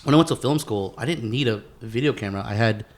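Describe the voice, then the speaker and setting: A man's voice: mid-range voice, quick pace. Male podcast host, mid-range voice, informal and slangy, loose conversational delivery with plenty of bro and dude. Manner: profane, slangy, says bro, dude and man often. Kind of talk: casual podcast chat.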